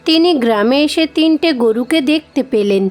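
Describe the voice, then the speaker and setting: High-voiced woman narrating a folktale. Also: expressive, moderate pace, a little mellow, faint music behind. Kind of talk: scripted children's story narration.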